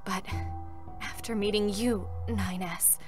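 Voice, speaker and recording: A woman playing a stoic, formal female combat android who hides deep anguish, in a dramatic game reading. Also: steady tone, slow pace, darkish tone, soft background music, natural voice. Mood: composed, resolute. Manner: solemn, controlled, formal.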